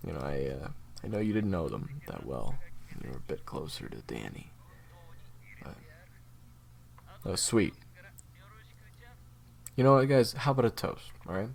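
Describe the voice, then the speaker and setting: A man's voice: sits low, sing-song, normal speed, vocal fry, pauses often, exaggerated voice. A man playing a laid-back, sweary young agent in an audio roleplay, casual and slangy in his delivery. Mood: wistful, warm. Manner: casual, slangy, hesitant starts.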